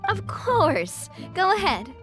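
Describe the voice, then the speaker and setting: A woman's voice: extremely high pitch, huge pitch swings, takes it slowly, exaggerated voice. A woman playing a kind, upbeat and poised young boss in a video game. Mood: gracious. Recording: faint music behind.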